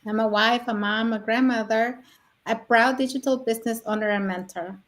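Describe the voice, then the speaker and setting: A woman's voice: fairly high pitch, steady tone, moderate pace. A middle-aged or older woman from Mexico co-hosting a live online talk and presenting to viewers. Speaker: co-host of an online business talk show.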